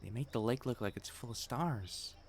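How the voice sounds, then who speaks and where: A man's voice: mid-range voice, normal speed, slight background noise, lightly acted. Man playing a prim, devoted fiancé, crickets faintly behind. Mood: eager. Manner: formal, stammering when flustered, earnest. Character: flustered, devoted, prim young male matchmaker fiancé. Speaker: voice actor in romantic audio roleplay. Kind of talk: intimate scripted romance roleplay acting.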